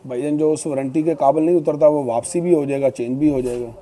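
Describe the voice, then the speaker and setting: A man giving a persuasive, conversational sales pitch as a shop seller. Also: fairly even intonation, fast talker, mellow. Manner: persuasive, repeatedly checks with "okay?". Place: fabric shop, indoors, seated on floor. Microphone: clip-on lapel mic.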